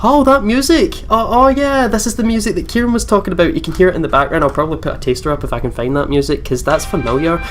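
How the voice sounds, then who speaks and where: A man's voice: high-pitched, quick pace, hardly any pauses. A man reads a visual novel aloud as a let's play host. He slips between narration and exclaimed asides to himself, with some noise in the background. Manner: reacts with exclamations and asides while reading. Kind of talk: game commentary and reading story text aloud.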